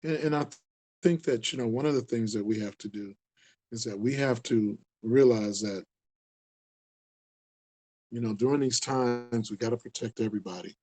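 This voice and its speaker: A man's voice: mid-range voice, normal speed, pauses often, a little mellow. Earnest sixty-something man, rambling. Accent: Upper Midwest American. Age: 60s. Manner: earnest, rambling, repeats himself for emphasis. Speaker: community outreach leader. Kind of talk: casual talk to camera.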